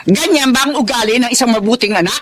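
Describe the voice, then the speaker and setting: A woman's voice: on the high side, fast, a crowd in the background, lightly acted. An actress playing a middle-aged mother who coaxes and then berates her grown daughter. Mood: indignant. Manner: coaxing, then indignant and accusing. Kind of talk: TV-style family drama acting.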